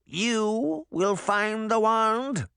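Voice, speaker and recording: A man voicing an enraged, menacing old witch who screams drawn-out accusations in an audiobook story. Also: sits high, creaky, bright, forceful tone, clear, resonant tone, no echo, studio quality, pitched above the performer's own voice, full character voice. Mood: wary, demanding.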